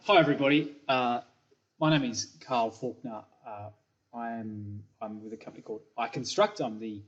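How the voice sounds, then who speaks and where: A man's voice: medium pitch, normal speed. A middle-aged man with an Australian accent giving a recorded product presentation from a small room, full of ums and repeated words. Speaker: software company principal presenting. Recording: slightly dull webcam audio.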